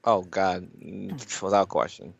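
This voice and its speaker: A man's voice: sits low, slow pace, creaky, breathy edge, no room sound, dull audio. A man co-hosting a podcast, chatting casually in blunt, laid-back phrasing. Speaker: podcast co-host. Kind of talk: casual chat.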